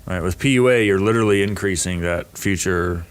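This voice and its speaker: A man with a low voice explains ideas in a casual podcast chat. He thinks out loud and often starts a phrase over before landing it. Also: fairly quick.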